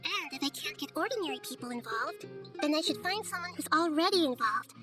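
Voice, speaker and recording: A woman voicing a wise, gentle little-girl god in a video game, speaking in a measured, thoughtful, articulate way. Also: sits high, expressive, over loud music. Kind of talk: scripted video game voice acting.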